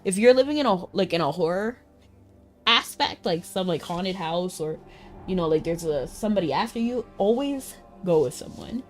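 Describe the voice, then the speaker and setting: A woman's voice: fairly low pitch, expressive, moderate pace. A woman streamer giving casual, playful running commentary on a game story in a chatty, slangy way. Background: faint game music.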